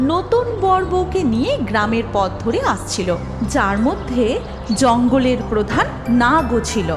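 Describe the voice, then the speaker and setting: A woman narrating a scripted cartoon story as a storyteller. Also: fairly high pitch.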